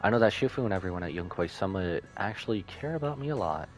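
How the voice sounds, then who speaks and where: A man's voice: low voice, lively intonation, fast talker. A man reading the lines of an earnest, grateful, self-doubting young woman from an anime game. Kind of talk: reading game dialogue aloud. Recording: game music behind voice.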